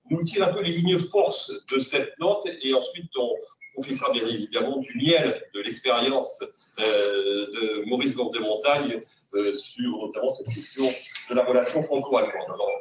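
A male moderator hands over the floor politely, dotting his talk with fillers. His voice comes from far off in an echoey meeting room with some background hiss. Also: mid-range voice. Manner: polite, courteous handover with fillers. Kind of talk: introducing speakers at a panel. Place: meeting room with tables in a U shape. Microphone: far room camera. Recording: echoey room, distant mic.

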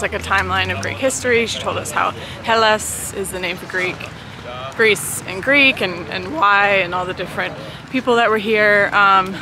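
A woman in her thirties from the United States, recapping a walking tour in a chatty, self-deprecating way, filming herself at arm's length outdoors. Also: medium pitch. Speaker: travel vlogger. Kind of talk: casual travel vlog narration. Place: outdoors in a city park and plaza. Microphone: camera held at arm's length. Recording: outdoor city noise behind.

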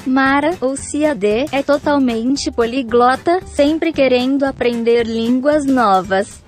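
A woman's voice: sits high, slow, soft background music. A woman reading short, teasing narration lines for a compilation video. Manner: teasing, light captions read aloud.

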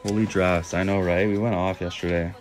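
A man's voice: flat delivery, a little fast, faint music behind. A young man hosting a casual livestream from a small room, reading and answering viewer comments in a playful way. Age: young adult. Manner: playful, bursts into high owl hoots. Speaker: livestream host. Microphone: phone held close.

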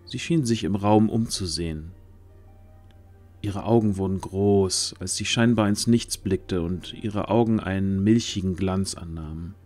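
A man's voice: deep voice, unhurried, a little mellow, soft background music. A man narrating a scripted fantasy audio drama as its storyteller.

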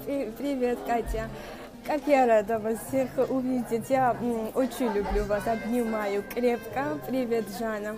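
Brief: young female coach, non-native accent, lightly high voice, stop-start delivery into an earphone mic, music behind. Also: fairly even intonation, a crowd in the background. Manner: halting, with many fillers and restarts, greeting viewers by name; speaks Russian as a second language with a noticeable accent. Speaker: inner strength coach hosting a live stream. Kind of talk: live-stream talk to viewers. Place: small room indoors. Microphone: wired earphone mic. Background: music playing.

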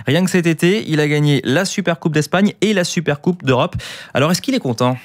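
A man's voice: on the high side, fairly quick, no echo. Young man reading radio sports news, dry studio. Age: 20s. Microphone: close studio microphone with headset.

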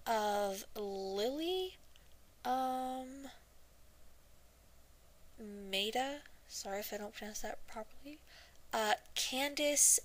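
Slow-paced young woman, hesitant and chatty. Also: mid-range voice, a bit bright, low-quality recording. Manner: hesitant, chatty, self-deprecating. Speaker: amateur voice actor auditioning. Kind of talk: casual self-introduction for an audition.

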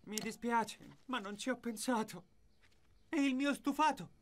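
A man's voice: very high-pitched, a little slow, slight background noise. A man voicing a bumbling young firefighter and station cook in a children's cartoon, flustered and apologetic. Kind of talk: children's cartoon dub acting.

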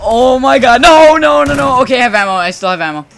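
A young man hosting a gameplay video, talking fast and excitedly with friends, panicking and laughing, his voice going hoarse. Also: very high-pitched, a little hiss or hum. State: voice getting hoarse. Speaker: gaming channel host. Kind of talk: casual gameplay commentary with friends.

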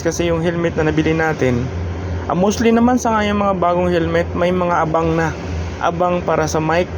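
A man with a Filipino accent giving a casual, halting how-to narration as a vlogger, often searching for words. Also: on the high side, noisy background. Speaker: motovlogger and host giving a product installation guide.

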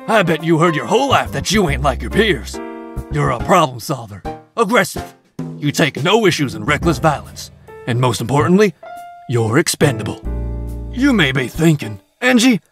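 A man with a Southern US accent playing a folksy, cheerfully grim engineer presenting a parody recruitment film in a cartoon. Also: fairly high pitch. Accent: American South. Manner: folksy, hard-sell. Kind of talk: parody corporate training film narration.

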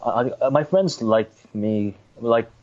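A man's voice: mid-range voice, takes it slowly, darkish tone. A Korean-born man, a native Korean speaker, speaking English as a guest, hesitant and polite. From South Korea. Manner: hesitant, polite, lots of fillers. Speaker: baseball writer, guest. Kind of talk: casual interview chat. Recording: dull lo-fi remote line.

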